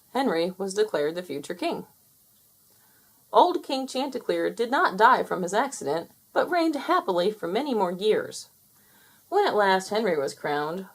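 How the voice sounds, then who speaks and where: A woman's voice: mid-range voice, vocal fry. A woman narrating a children's storybook aloud, inviting young listeners to guess what happens. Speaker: storybook reader.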